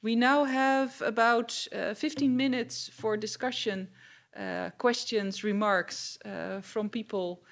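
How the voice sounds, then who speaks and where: Female panel moderator, Dutch-accented, noticeable vocal fry, hesitant stop-start phrasing at a public event. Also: on the high side, steady tone, slow. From Netherlands.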